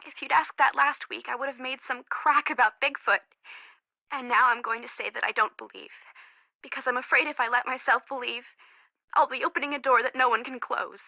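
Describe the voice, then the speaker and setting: A woman's voice: high-pitched, fairly even intonation, a little fast, gravelly, sharp tone, dry recording, dull audio, exaggerated voice. An actress playing a worried, guarded young woman in a video game, friend to a missing girl. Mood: uneasy, troubled.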